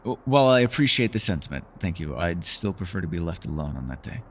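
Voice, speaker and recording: Male actor as ancient hermit elf scholar: low, very expressive, formal, gently teasing, secretive; intimate fantasy roleplay. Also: husky, full character voice. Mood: reluctant, grateful. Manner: measured, formal phrasing, hesitant and softly teasing. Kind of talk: fantasy ASMR roleplay acting, intimate one-sided dialogue.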